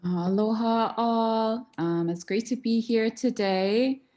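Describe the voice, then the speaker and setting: A woman's voice: fairly high pitch, slow, mellow. A young woman from New Jersey giving an informal introduction as a program coordinator on a panel. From New Jersey, United States.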